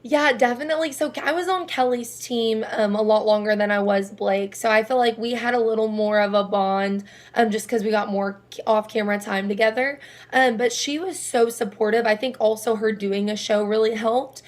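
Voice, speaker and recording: Young woman with a raspy low voice. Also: normal speed, few pauses. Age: young adult. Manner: chatty, laughing, rambling. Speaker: singer-songwriter guest. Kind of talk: casual interview answers.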